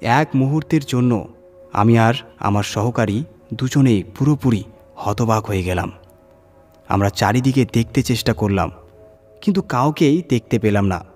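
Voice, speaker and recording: A man's voice: mid-range voice, moderate pace, long pauses, a little mellow. A man reading a scripted first-person horror story as its narrator. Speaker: story reader. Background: background music.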